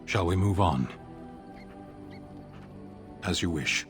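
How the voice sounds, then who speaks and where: A stoic foreign warrior in a fantasy game. He talks slowly in a low, deadpan voice with terse phrasing, music underneath. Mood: stoic musing. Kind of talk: fantasy video game dialogue.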